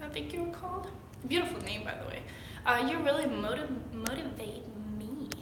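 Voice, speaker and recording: Sick young girl rambling thru a live q&a, kinda high voice, faint hiss behind. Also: moderate pace. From Denmark. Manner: chatty, rambling with fillers. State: sick, clearing throat. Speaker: fitness vlogger. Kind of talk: casual livestream Q&A. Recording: echoey room with faint noise.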